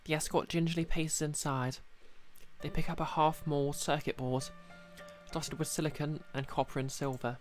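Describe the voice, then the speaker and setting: A man with a slightly high voice reads story narration aloud as a streamer. He drops in casual asides of his own, with music playing faintly behind him. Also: little pitch movement, normal speed. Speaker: streamer reading a visual novel aloud.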